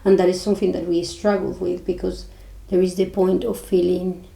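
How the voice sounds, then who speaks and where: A woman with a Spanish accent co-hosting a podcast, talking earnestly and haltingly with many restarts. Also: fairly low pitch, level tone, vocal fry, dark tone, echoey room, low-quality recording. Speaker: podcast co-host. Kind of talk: casual chat.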